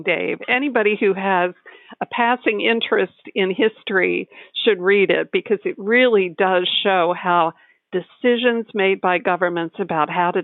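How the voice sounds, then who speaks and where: A woman from the United States, a tax advisor, explaining in a measured, articulate way as a podcast guest. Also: medium pitch, no room sound. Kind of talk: podcast interview. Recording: tinny phone-like line.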